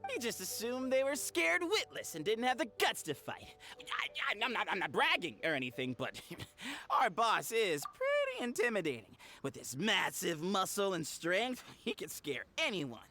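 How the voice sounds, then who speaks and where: A man's voice: high voice, wildly swooping pitch, normal speed, sharp tone, faint music behind, exaggerated voice. An actor voicing a nervous, boastful petty thief in a video game, stammering and pleading as he babbles excuses. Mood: boastful, excitable.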